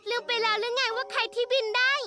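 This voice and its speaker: Feisty, very high-pitched girl hero. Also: fast. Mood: challenging. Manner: challenging, animated. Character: feisty, competitive young girl owl superhero. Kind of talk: children's cartoon dub. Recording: loud children's music behind.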